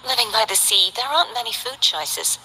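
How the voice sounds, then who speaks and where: A cheerful, candid young woman dancer in a game, chatting warmly. Her voice sits a little high and rings very bright. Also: lively intonation, a little fast, slightly rough, exaggerated voice, low-quality recording. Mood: friendly. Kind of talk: game voice lines.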